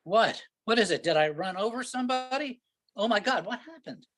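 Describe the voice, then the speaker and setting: A man's voice: sits high, lively intonation, normal speed, a bit bright. A man playing an impatient, sarcastic, exasperated husband on vacation in an amateur staged play reading. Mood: alarmed. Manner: sarcastic, griping, incredulous.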